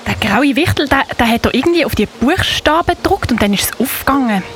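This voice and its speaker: A woman with a Swiss accent playing an excitable, slangy child investigator in a Christmas radio play. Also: high-pitched, fast, soft background music. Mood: hesitant, eager.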